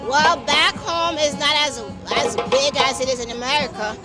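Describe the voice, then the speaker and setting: Woman from Haiti, street interviewee, high pitch, halting delivery. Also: over loud music.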